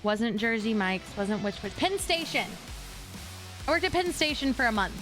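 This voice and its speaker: A woman's voice: on the high side, very animated, moderate pace, over music. A young adult woman from Kentucky, chatting casually on a live stream and answering her chat.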